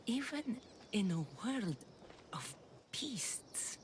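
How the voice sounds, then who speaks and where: An actress playing a bitter young Greek warrior woman in a dramatic video game, speaking with menace and gravel in her voice. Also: a little high, sing-song, slight background noise, exaggerated voice. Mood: menacing. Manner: menacing, deliberate.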